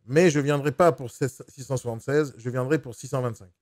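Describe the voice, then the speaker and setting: A man's voice: medium pitch, a little fast, a little mellow, no echo, studio quality. A man posing as a buyer on a phone call, pressing hard and repeating himself to get his way. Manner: pushy, insistent, repeats himself. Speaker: mystery shopper posing as buyer.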